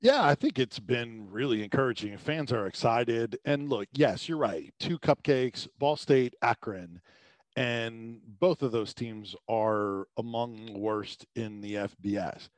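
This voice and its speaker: A man from Tampa, a sports radio host, giving animated sports analysis as a podcast guest, his pitch rising on emphasis. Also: measured pace, no room sound. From Tampa, Florida.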